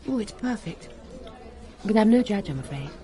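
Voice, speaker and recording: An actress playing a cultured, candid young woman in a British radio drama, speaking and narrating in a wistful, measured way. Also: medium pitch, animated, dark tone, natural voice. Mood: frank, polite. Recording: radio drama with clear background noise.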